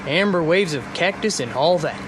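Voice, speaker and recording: An actor playing an easygoing, joking husband on a car trip in a radio drama. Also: male voice, a little high, expressive, moderate pace, natural voice. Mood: breezy, reassuring. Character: easygoing joking husband driving through the desert. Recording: clear car road noise.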